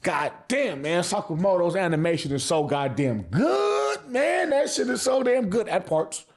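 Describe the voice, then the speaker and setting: A man's voice: high voice, big pitch swings, unhurried. A man giving casual, opinionated anime commentary as a vlog host, speaking in emphatic slang with frequent swearing and repeated phrases. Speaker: anime reviewer and channel host.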